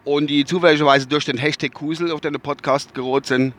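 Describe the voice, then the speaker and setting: A man's voice: fairly high pitch, steady tone, brisk, a bit bright. A man with a Westphalian accent hosting his own podcast from inside his car while driving, rambling, groping for words and swearing casually. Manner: rambling, searching for words, many fillers and restarts. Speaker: podcast host. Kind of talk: casual spoken podcast monologue.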